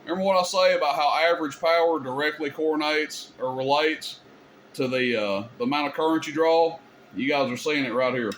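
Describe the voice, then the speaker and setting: A man with a slightly high voice talks viewers through a hands-on technical demonstration. He is informal and folksy, explaining things casually as he goes. Some background noise sits behind his voice. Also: fairly quick, fairly bright tone. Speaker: video host demonstrating CB radio gear.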